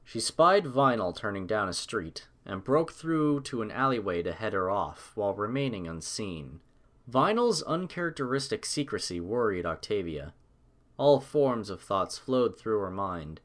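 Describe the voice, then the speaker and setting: A man's voice: lowish voice, sing-song, a little slow, fairly bright tone. A man narrating a scripted story with a dramatic storytelling manner.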